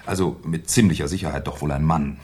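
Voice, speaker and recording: A man's voice: a bass voice, fast, darkish tone, slightly rough. An actor playing a shrewd, politely ironic police commissioner in a 1970s German radio crime drama, musing and probing as he talks. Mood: musing, reasoning. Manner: musing, hesitant, slyly polite questioning.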